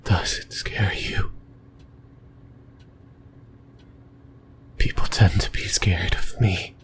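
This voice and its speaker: A man playing an obsessive, lonely sleep paralysis demon in a breathy, intimate whisper for an ASMR horror roleplay, hesitant and rambling. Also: low-pitched, a bit bright. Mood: menacing, coaxing.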